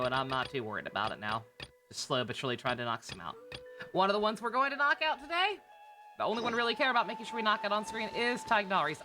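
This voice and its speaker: A woman livestreaming a game, chatting casually with viewers in a sweary, wry, sarcastic way. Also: on the low side. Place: indoor game streaming setup, game music behind.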